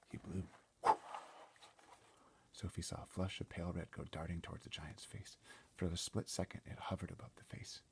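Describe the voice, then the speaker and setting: A man's voice: sits low, vocal fry, slight background noise. A man reading a children's story aloud as dramatic narration, theatrical and suspenseful, often dropping to a whisper. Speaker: storybook reader.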